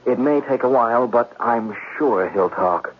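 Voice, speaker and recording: A man acting a scheming gambler in a 1970s radio drama, with a smooth, sly, theatrical delivery. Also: medium pitch, measured pace, natural voice. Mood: feigned worry. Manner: theatrical, sly, feigning innocence. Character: scheming, smooth gambler brother narrating his own plot. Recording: muffled, noisy 1970s radio broadcast.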